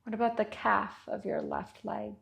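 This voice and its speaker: A woman guiding a body scan meditation with gentle, unhurried instructions and long pauses. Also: mid-range voice, gravelly. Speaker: meditation guide.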